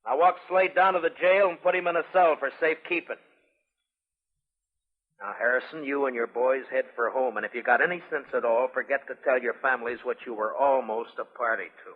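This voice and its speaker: Man acting a stern marshal, husky, old radio. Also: medium pitch, lightly acted. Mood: stern, threatening. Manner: firm, deliberate, terse. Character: stern, commanding frontier town marshal in the Old West. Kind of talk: 1950s radio western acting. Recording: muffled old radio broadcast, long reverb.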